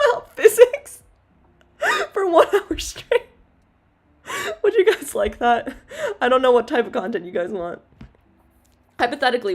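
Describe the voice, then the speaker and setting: A young woman streaming a casual Q&A as a VTuber, rambling with lots of fillers and giggles, her voice low-ish and loud. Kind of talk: casual Q&A livestream chat.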